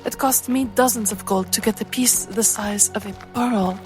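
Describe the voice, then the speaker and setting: A woman voicing a shrewd, teasing female traveler with a measured, coaxing manner in a tabletop game. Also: mid-range voice, darkish tone, soft background music, close to an everyday voice. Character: Vianne, shrewd teasing female spy companion.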